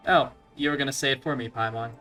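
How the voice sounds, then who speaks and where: Wry young man, mid-pitched gaming commentary. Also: steady tone, quick pace, loud music behind the voice. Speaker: let's play streamer. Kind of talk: casual game commentary.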